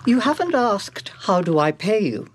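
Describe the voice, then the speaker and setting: An actress playing a shrewd, controlling, enigmatic older woman in a radio drama, probing in a deliberate, knowing way. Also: medium pitch, big pitch swings, a little hiss or hum, close to an everyday voice.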